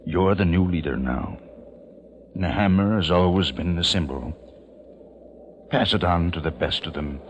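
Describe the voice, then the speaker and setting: Very deep, slow, frail old patriarch. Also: male voice, a little hiss or hum. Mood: solemn, earnest. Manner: halting, repeats phrases slowly. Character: very old, frail, near-blind patriarch, wistful and earnest. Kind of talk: 1940s radio drama acting. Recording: muffled old radio recording with music.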